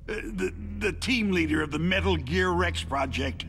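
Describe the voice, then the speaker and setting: An actor in a video game playing a middle-aged arms company chief, a tortured, panicky captive who talks in halting, agitated stammers. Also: male voice, high voice, a bit bright, a little hiss or hum. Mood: earnest, urgent. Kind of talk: dramatic video game voice acting.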